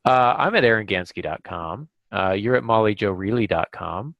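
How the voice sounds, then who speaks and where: A man's voice: on the low side, lively intonation, normal speed, creaky, no echo, dull audio. A man hosting a podcast in a casual, easygoing, self-deprecating conversational way. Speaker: podcast host.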